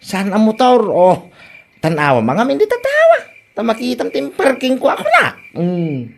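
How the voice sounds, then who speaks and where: A woman with an Ilocano accent playing a naive, boastful young male suitor in a comedy audio drama, halting and exclamatory. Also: fairly high pitch, huge pitch swings, gravelly, noisy background, full character voice. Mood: boastful, pleading.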